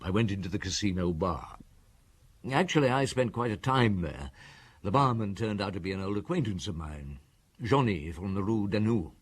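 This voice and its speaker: Middle-aged police inspector, calm, dryly amused, deep, husky, radio drama. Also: male voice, normal speed. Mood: narrating. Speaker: Inspector Maigret. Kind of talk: radio drama acting and narration.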